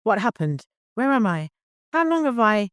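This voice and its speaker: A man voicing a kindly father and caravan leader in an audiobook, courteous, gently humorous and easily flustered. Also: sits high, sing-song, moderate pace, clear, resonant tone, no echo, clean studio sound, voice pushed up. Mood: startled.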